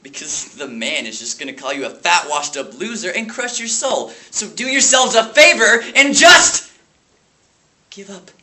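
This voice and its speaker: An angry, defeated substitute teacher played by a man on stage rants at children with biting sarcasm. The voice is highish, forceful and very bright, with explosive emphasis. It rings in an echoey room with faint noise. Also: very animated, measured pace, heavily acted. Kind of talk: theatrical audition monologue.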